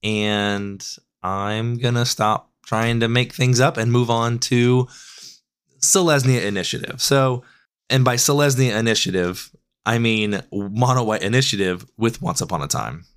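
A man with a raspy voice, hoarse from a cold, casually breaking down card game decklists as a podcast host, often correcting himself mid-sentence. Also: mid-range voice, a little slow, fairly bright tone. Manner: loose, rambling with frequent self-corrections. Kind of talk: casual deck analysis.

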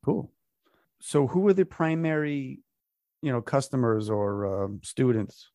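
A man hosting a podcast, raspy while getting over a cold, speaking in a relaxed, upbeat way. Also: medium pitch, measured pace, dry recording, clean studio sound. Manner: relaxed, upbeat, loose with fillers. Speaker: podcast host. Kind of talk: casual podcast interview.

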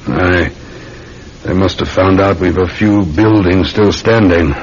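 An actor playing a measured, dry London police sergeant in a classic radio drama. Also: male voice. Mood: dry, guarded. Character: weary, methodical London police sergeant, a patient uncle. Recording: dull, rumbling, noisy old radio recording.

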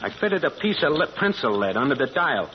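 Blunt, animated radio gangster boss. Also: male voice, on the high side, a little fast. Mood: gruff, scheming. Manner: blunt, slangy tough-guy talk. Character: tough, suspicious male gang boss and crook. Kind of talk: 1930s-40s radio drama acting. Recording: dull, lightly noisy old radio recording.

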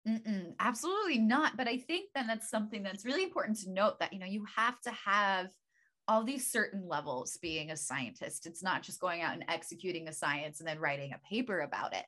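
A woman's voice: medium pitch, expressive, brisk, sharp tone. A woman hosting an interview, asking long chatty questions full of "like" and "you know". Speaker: interviewer host.

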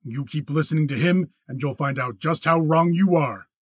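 A man voicing an angry, cold young cattle rancher in an audiobook western, flat, clipped and challenging. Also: fairly high pitch, measured pace, breathy edge, no room sound, full character voice. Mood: threatening.

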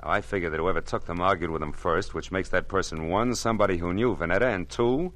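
An American actor playing a tough, wisecracking private detective in a 1940s radio noir, slangy and sardonic. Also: male voice, sits low, quick pace, fairly bright tone. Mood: hard, accusing.

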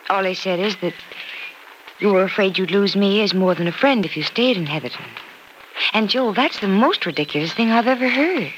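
A woman's voice: mid-range voice, expressive, brisk, lightly acted. An actress with an American accent playing an earnest, stubborn young woman in an old-time radio soap opera. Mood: insistent, pleading. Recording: old radio broadcast with faint noise.

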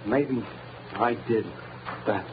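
A man's voice: fairly low pitch, takes it slowly. A man acting a jittery, cornered small-time crook with slangy tough talk in an old radio drama. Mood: rattled, panicky. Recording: noisy, muffled old-time radio recording.

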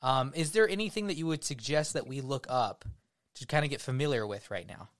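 A man's voice: mid-range voice, lively intonation, brisk. Teasing podcast co-host man. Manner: teasing, rambling, with restarts. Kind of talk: casual chat.

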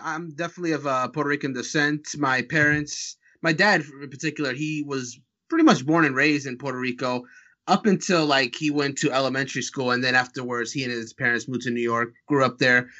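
A man's voice: mid-range voice, sharp tone. A man who is a podcast guest, rambling casually with lots of fillers. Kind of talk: casual chat. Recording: dull lo-fi sound.

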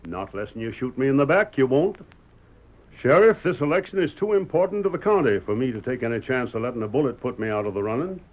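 A man's voice: medium pitch, very animated, fast. Folksy, stubborn Western father. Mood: wry, defiant. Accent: American Western drawl. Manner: folksy, sly, drawling needling. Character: stubborn, wry Old West father, sheriff candidate. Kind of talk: old-time radio western acting. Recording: dull, muffled old radio recording.

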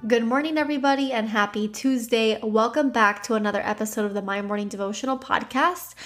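A woman's voice: a little high, brisk, fairly bright tone, soft background music. Stuffy-nosed woman hosting a devotional. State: stuffy nose. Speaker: devotional podcast host. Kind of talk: devotional talk and scripture reading.

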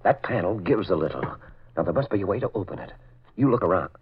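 Low-voiced bold masked crime fighter. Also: male voice, animated, husky, exaggerated voice. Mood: intent, searching. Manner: commanding, deliberate explaining. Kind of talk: 1940s radio acting. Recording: dull, faintly noisy old radio recording.

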